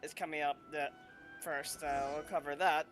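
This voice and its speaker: A man's voice: a little high, level tone, moderate pace. A man giving casual, improvised commentary on a video game playthrough, a little hesitant. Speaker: gamer, host of a playthrough. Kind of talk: casual gameplay commentary, reading choices aloud. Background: faint game music.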